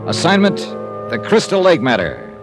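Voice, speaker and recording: A man's voice: a little high, huge pitch swings, moderate pace, over loud music. An actor playing a hard-boiled male insurance investigator who also narrates, clipped and wry in 1950s radio drama style. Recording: vintage radio broadcast transfer.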